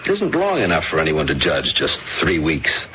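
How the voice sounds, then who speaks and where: A man's voice: a bass voice, huge pitch swings, normal speed, full character voice. A mild, worried widowed father. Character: middle-aged father, gentle, worried widower. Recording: dull old radio recording with faint hiss.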